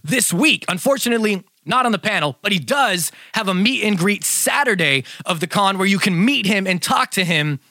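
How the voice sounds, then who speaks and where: A man's voice: high voice, lively intonation, normal speed, few pauses, bright, forceful tone, no echo, studio quality. A young man hosting a podcast, talking in a hype, rambling way with lots of fillers, a bit congested from a cold. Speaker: podcast host. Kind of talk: casual podcast chat.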